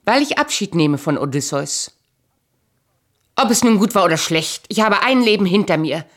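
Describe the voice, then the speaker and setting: An actress playing a proud, hard, aging Greek wife in a German radio play, commanding, curt and bitter. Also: female voice, lowish voice, lively intonation, normal speed, close to an everyday voice. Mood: hard, resolute. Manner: imperious, curt, scornful, then wistful.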